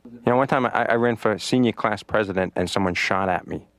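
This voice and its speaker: Deadpan patient, halting, low voice, dry mic. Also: male voice, no room sound. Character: deadpan adult male comedian patient, glum. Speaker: patient in therapy. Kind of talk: stand-up style monologue to therapist.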